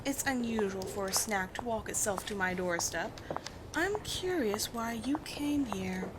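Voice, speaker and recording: A woman playing a haughty, commanding vampire queen in a roleplay audio drama, speaking in deliberate, theatrical phrases with disdain for humans. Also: mid-range voice, expressive, heavy background noise, lightly acted. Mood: cool, curious.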